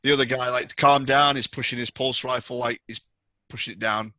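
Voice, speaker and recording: A man's voice: mid-range voice, steady tone, fairly quick, bright, forceful tone, dry recording. A man narrating a tabletop roleplaying game as game master, describing scenes to players.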